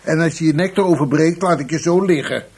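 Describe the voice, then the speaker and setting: A man's voice: fairly high pitch, quick pace, darkish tone, breathy edge. An actor playing an exasperated intelligence chief in a radio play, sardonic and commanding with his staff. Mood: grudging, exasperated. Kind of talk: radio drama acting.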